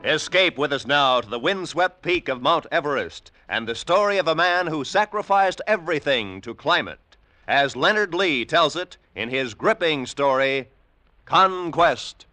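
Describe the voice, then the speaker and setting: A man's voice: a little high, expressive, measured pace, bright, forceful tone. A man with an American accent doing polished, emphatic scripted announcing for 1950s network radio. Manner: polished, emphatic broadcast delivery. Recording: dry vintage radio recording, faint music.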